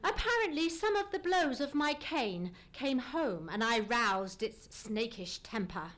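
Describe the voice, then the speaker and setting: A woman's voice: fairly high pitch, expressive, a little slow, a bit bright. A woman acting a self-assured detective in a live old-time radio play, speaking in a precise, formal, theatrical way. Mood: dramatic, self-satisfied.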